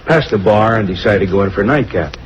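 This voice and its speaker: A chatty, rueful male salesman played by a radio actor rambles quickly in a fairly low voice. He is hesitant and self-blaming, in old radio drama style. The recording sounds muffled. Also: noisy background, close to an everyday voice. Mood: chatty, sheepish. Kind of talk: 1940s-50s radio drama acting.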